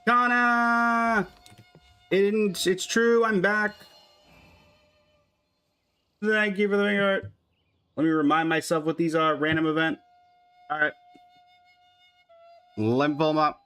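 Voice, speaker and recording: A man's voice: sits high, steady tone, takes it slowly, pauses often, bright, forceful tone, clean tone, soft background music. A man giving casual, chatty commentary on a game livestream, talking to his chat and humming little filler syllables.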